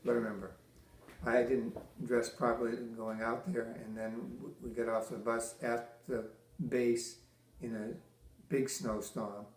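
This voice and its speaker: An older man from Massachusetts, a military veteran, answering interview questions with halting restarts, many fillers and dry teasing humor. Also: mid-range voice, flat delivery. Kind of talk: oral history interview. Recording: echoey room.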